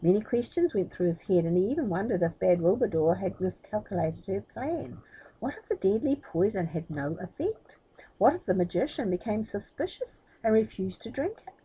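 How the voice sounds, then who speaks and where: Animated, slightly husky woman storyteller. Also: medium pitch, normal speed, rough recording. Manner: dramatic, addresses listeners directly. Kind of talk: expressive children's story reading.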